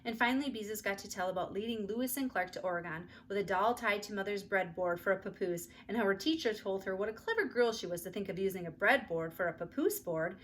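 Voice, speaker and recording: Female read-aloud storyteller, mid-pitched, expressive children's narration, faint background noise. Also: fast talker, hardly any pauses.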